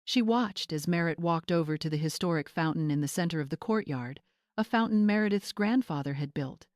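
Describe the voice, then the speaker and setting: Female story narrator: lowish pitch, scripted drama delivery, dry close sound. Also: fairly quick, a little mellow, no echo.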